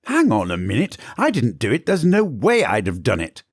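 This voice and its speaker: A man's voice: medium pitch, wildly swooping pitch, moderate pace, breathy edge, no room sound, clean studio sound, voice pushed up. A man doing a desperate, indignant palace cook. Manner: defensive, indignant.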